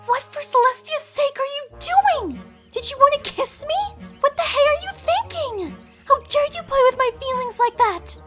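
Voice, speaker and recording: A woman playing a gentle, lovesick young female pony with wounded feelings in an audio drama, speaking earnestly and emotionally. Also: very high voice, expressive, moderate pace, over music. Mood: hurt, angry. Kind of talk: fan audio drama acting.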